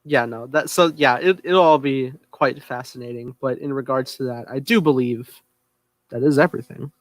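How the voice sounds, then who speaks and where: A man's voice: mid-range voice, moderate pace, no echo. A man hosting a podcast in loose, casual, conversational chat. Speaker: podcast host.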